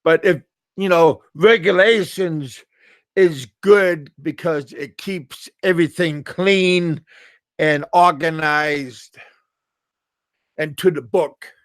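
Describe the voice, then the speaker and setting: A middle-aged American man chatting casually on a live stream, halting and repeating his words. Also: a little high, a bit bright, no echo, clean studio sound. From USA. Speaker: livestream host.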